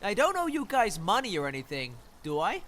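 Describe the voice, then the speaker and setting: A cheeky young male street hooligan, acted by a man in an audiobook. His voice is very high for a man and jumps around animatedly, with a wary, informal manner. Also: wildly swooping pitch, a little fast, a bit bright, slight background noise, heavily acted. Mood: confused, wary. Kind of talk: audiobook character voice.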